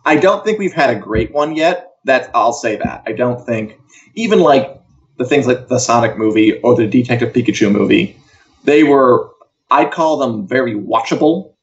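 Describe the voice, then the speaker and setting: A man's voice: medium pitch, expressive, moderate pace. Male co-host, casual pop-culture chat, mid-range voice on slightly muffled lo-fi audio. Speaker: co-host of an entertainment news show.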